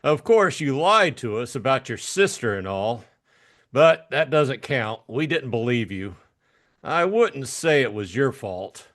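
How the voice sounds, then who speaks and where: A man's voice: medium pitch, sing-song, unhurried, a bit bright. A man playing a cool, cynical private detective in an audiobook, speaking in a blunt, sardonic, matter-of-fact way. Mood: firm, blunt. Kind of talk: audiobook character acting.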